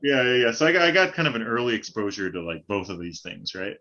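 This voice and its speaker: A man's voice: fairly low pitch, fast talker. A man from Hawaii and Northern California chatting casually as a podcast guest, full of "like" and "right?" fillers. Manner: relaxed, rambling, many fillers like "like" and "right?". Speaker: podcast guest and fellow host. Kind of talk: casual conversation. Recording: dull lo-fi audio.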